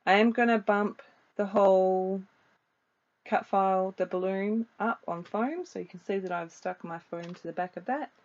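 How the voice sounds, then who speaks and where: A woman with a slightly croaky voice, casually narrating a voice-over for her craft video in a chatty way with frequent ums. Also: mid-range voice, moderate pace, muffled recording.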